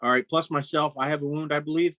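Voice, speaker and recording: A man's voice: on the high side, steady tone, fast talker, sharp tone, no room sound, studio quality. A man talking casually at the table as a player in a role-playing game.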